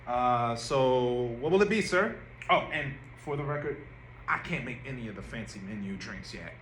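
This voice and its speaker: Man playing a wary young cafe trainee, lively intonation. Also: mid-range voice, moderate pace, natural voice. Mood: polite, nervous. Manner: polite, hesitant, wary. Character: guarded young male new hire at a cafe. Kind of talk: audio play read-through. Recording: echoey room with background noise.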